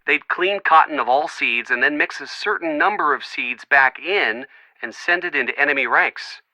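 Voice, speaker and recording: Very bright, earnest young man. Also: a little high, normal speed, dry recording, rough recording. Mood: chatty explaining. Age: young adult. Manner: earnest, chatty, reassuring. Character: friendly young man, earnest, bookish, supportive boyfriend type. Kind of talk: video game voice acting, phone conversation.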